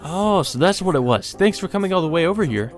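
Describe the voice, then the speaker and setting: A kindly clinic doctor and uncle from a visual novel, played by a man. He speaks courteously in a raised pitch with music in the background. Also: expressive, fast talker. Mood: grateful. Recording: music behind the voice.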